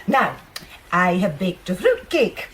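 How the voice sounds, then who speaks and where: A woman's voice: fairly low pitch, sing-song, slow, fairly bright tone, slight background noise, heavily acted. A woman acting a bossy, cheerful, fussy colonial aunt in a period radio drama, chatty and managing. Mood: jolly, bossy.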